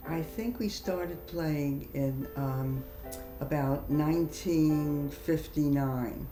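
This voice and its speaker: A woman's voice: low voice, takes it slowly, dark tone, echoey room, soft background music. An elderly woman, casually reminiscing in an interview. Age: older, 80s. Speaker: interviewee, longtime mahjong player.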